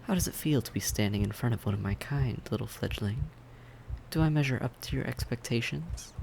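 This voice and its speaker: A man playing a suave, polite vampire in an audio roleplay, courteous and measured, with a softly teasing menace. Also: medium pitch, gravelly, a little hiss or hum, natural voice, low-quality recording. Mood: gloating, teasing. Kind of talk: scripted audio roleplay acting, addressed to the listener.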